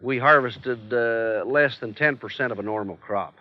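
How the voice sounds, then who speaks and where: A man's voice: medium pitch, moderate pace. An American man from rural Colorado, a farmer, recalling events in plain speech. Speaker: farmer interviewee. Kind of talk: plain-spoken recollection. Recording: dull 1970s film soundtrack.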